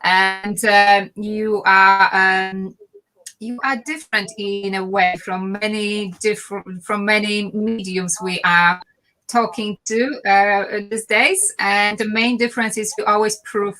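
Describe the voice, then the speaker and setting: A woman's voice: medium pitch, fairly even intonation, slow, pushed and bright, rough recording. A woman hosting an online talk over a video call, introducing her guest politely with many hesitant fillers. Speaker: host. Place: London.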